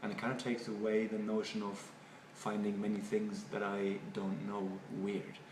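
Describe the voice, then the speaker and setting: A man from Germany with a German accent, a native German speaker, giving halting, thoughtful interview answers and often repeating words. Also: lowish voice, completely flat delivery. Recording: echoey room, far from mic, noisy.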